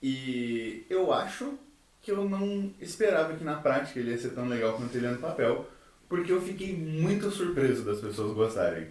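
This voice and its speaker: A man's voice: mid-range voice, animated, normal speed, a little mellow. A man from a theatre group chatting informally and with slang on a podcast. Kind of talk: casual podcast group chat. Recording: echoey room, distant mic.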